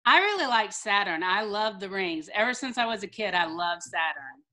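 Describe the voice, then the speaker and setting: A middle-aged American woman, a teacher reading a picture book aloud to children with careful, deliberate enunciation over a video call. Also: mid-range voice, expressive, bright, forceful tone. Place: small room indoors, on a video call.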